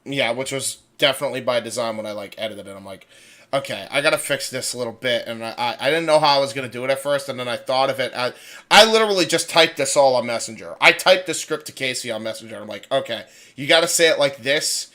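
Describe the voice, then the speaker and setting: A man's voice: mid-range voice, quick pace, bright, forceful tone. A man hosting a livestream, explaining his editing in an informal, rambling, stop-start way. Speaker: livestream host and audio creator. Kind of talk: casual behind-the-scenes commentary.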